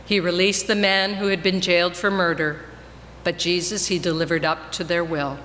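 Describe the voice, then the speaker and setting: A woman giving a solemn, measured dramatic scripture reading to a congregation in a large church. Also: fairly low pitch, little pitch movement, a bit bright. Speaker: reader in a church Passion reading. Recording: echoing church hall, noticeable noise.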